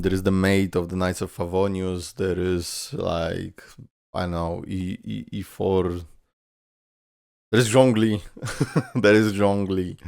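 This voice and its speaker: A man's voice: sits low, creaky, no room sound, studio quality. A man hosting a reaction video, giving casual commentary and thinking aloud in an informal way.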